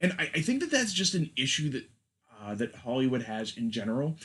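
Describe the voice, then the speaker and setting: A man's voice: mid-range voice, sing-song, brisk, fairly bright tone. A man in his thirties co-hosting a podcast, talking casually and steering the discussion along. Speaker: podcast co-host.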